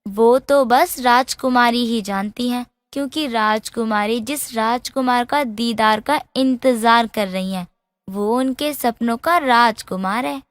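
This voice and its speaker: A woman's voice: fairly high pitch, measured pace, no echo, studio quality, in a large hall, natural voice. A woman playing a princess's loyal young friend in a fairy tale, forthright and protective. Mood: earnest.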